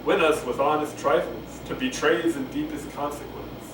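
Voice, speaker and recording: A man doing a halting, rambling, unrehearsed read-aloud of Shakespeare, stumbling and going back over lines. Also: on the high side, steady tone. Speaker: amateur reader of a play. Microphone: camcorder microphone at a distance. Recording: roomy, distant camcorder mic with clear noise.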